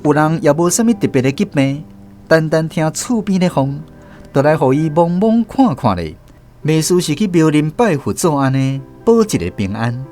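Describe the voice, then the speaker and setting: A man from Taiwan narrates a radio drama as its host, reading in a measured, expressive way. His pitch moves widely across each sentence, and a quiet guitar plays faintly behind him. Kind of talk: scripted storytelling narration.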